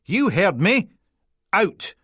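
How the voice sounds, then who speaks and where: Man doing a loud flustered Peterhead policewoman. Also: medium pitch, sing-song, extremely slow, husky, no echo, clean studio sound, voice pushed up, full character voice. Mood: bossy. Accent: Peterhead, Scottish. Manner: loud and flustered, stumbling over titles. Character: brusque young female police constable.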